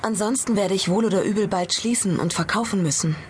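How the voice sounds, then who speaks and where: A woman's voice: medium pitch, a little fast, close to an everyday voice. A woman playing a proud, gossipy and worried hotel owner in a German audio drama, effusive and deferential. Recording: ambient noise behind the voice.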